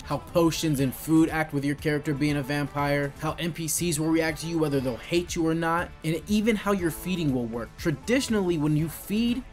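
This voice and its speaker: A young man explains a game setup in an informal, slangy way. His voice sits a little higher than most men's, and music plays behind his commentary. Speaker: gaming video host. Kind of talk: casual game tutorial commentary.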